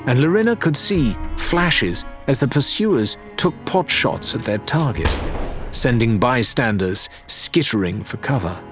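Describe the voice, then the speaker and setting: A man's voice: mid-range voice, expressive, unhurried, over music. A man reading a dramatic adventure audiobook as narrator, in scripted storytelling style.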